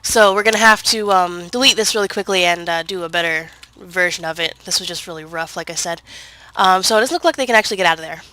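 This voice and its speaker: A woman's voice: on the low side, fast talker, sharp tone, poor audio. A woman with a head cold, sounding congested, chatting casually through gameplay with lots of fillers and self-corrections. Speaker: gaming video creator.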